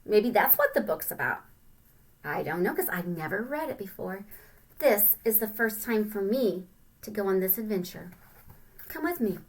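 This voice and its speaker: A woman teacher reading a picture book aloud to young children, with simple, expressive explanations in between. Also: mid-range voice, moderate pace.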